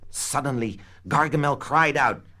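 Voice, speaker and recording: A man narrating a scripted children's read-along story in an expressive, dramatic storytelling manner. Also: mid-range voice, a little slow. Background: background music.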